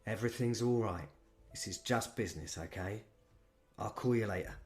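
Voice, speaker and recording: A man's voice: lowish voice, moderate pace, a little mellow, natural voice. A man voicing a blunt, unafraid middle-aged gang manager with a London accent who swears a lot.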